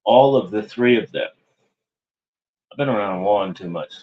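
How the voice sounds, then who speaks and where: A man's voice: on the low side, measured pace, highs cut off. A man hosting an internet show, delivering a meandering, sardonic monologue that keeps correcting itself. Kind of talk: rambling monologue commentary.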